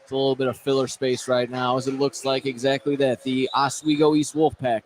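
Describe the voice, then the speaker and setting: A man's voice: medium pitch, flat delivery, brisk. A man calling a live basketball game on radio as the play-by-play announcer. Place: indoor gym at a basketball tournament. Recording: noisy gym ambience behind.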